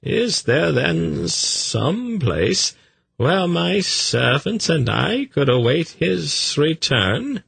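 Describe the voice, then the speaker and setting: Slow, courteous, evasive slaver. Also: male voice, fairly high pitch, big pitch swings, a bit bright, dry recording, pitched above the performer's own voice, full character voice. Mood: placating, evasive. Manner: deferential, measured, smoothly placating. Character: unflappable, courteous, evasive male traveling slaver. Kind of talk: fantasy audiobook character acting.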